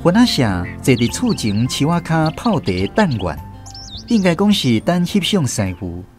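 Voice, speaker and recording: A man's voice: fairly high pitch, big pitch swings, unhurried, soft background music. A man with a Taiwanese accent playing a grown man who narrates fond memories of his country childhood in a radio drama. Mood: reflective.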